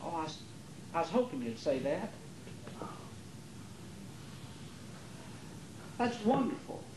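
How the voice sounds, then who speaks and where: A troubled young nephew in a stage drama, acted by a man, loyal and protective of his uncle. His pitch moves animatedly. The sound is an echoey rehearsal tape from a theater. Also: big pitch swings, fairly quick. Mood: relieved, eager. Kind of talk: amateur stage play acting. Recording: roomy, noisy 1980s theater rehearsal tape.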